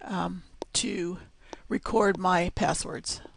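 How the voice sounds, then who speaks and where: A woman's voice: fairly low pitch, steady tone, vocal fry. A woman presenting a screencast tutorial, explaining steps one at a time with fillers, her voice scratchy as she loses it. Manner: slow, step-by-step explaining with fillers.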